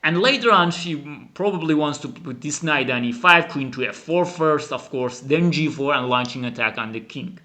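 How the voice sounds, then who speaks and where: A man who is a non-native English speaker gives animated, emphatic chess commentary as a teacher, dramatizing the game. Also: on the high side, normal speed, few pauses. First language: not English. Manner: dramatic, emphatic, acts out the players' thoughts. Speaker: chess teacher and channel host.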